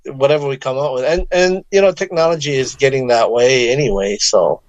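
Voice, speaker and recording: A man's voice: mid-range voice, a little fast, no echo, lo-fi sound. A man co-hosting a radio talk show in casual chat, reacting with frequent short agreements. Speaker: radio co-host. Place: radio studio.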